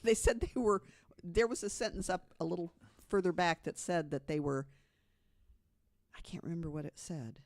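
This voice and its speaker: A man on a podcast panel, chiming in with quick jokes and short reactions in casual chat. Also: on the high side, expressive, dry recording. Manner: quick joking reactions, frequent laughs.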